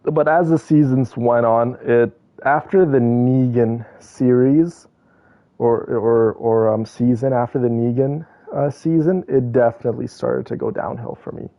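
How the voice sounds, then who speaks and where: A man's voice: medium pitch, fairly even intonation, a little slow, muffled recording. A male game streamer chatting casually with viewers in a rambling, opinionated, slangy way. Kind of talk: casual chat with viewers while playing.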